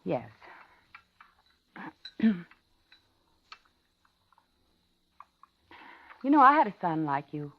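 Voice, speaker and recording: An actress playing a polite, lonely older widow in a 1950s radio thriller, talking and narrating in a genteel, dramatic way. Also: female voice, lowish voice, very animated, slow pace, exaggerated voice. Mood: wistful, concerned. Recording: dull 1950s radio recording, music behind.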